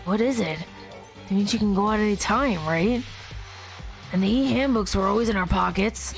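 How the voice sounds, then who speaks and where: A woman's voice: mid-range voice, normal speed, vocal fry, faint music behind, lightly acted. A woman reading the lines of a wary, uneasy young man in a game, in her own voice and a hesitant, questioning manner.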